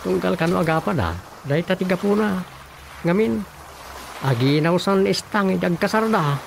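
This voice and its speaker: A man's voice: high voice, moderate pace, vocal fry, slight background noise. A man acting a flustered, gossipy village councilman in an Ilocano radio comedy-drama, exclaiming and hesitating conversationally. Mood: gossipy, exasperated. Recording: music bed under the dialogue. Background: background music.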